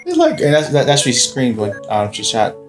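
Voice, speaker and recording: Young male co-host, excitable and teasing, very lively pitch, faint game music. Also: a little fast. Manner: teasing, excitable, laughs often. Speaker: gameplay co-host. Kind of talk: casual gaming chat.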